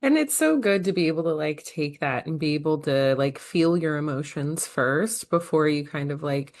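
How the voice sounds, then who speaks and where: A woman with a notably low voice hosts a casual podcast. She talks eagerly and loosely, with a string of filler words. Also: lively intonation, moderate pace, darkish tone. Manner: chatty, enthusiastic, many "like" fillers. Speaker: podcast host.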